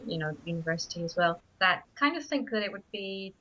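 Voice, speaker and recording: A woman's voice: on the low side, animated, quick pace, pushed and bright. A woman from Spain, a native Spanish speaker, answering interview questions about her writing with frequent hesitant restarts. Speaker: guest writer. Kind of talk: radio interview. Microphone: studio microphone. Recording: muffled radio studio audio.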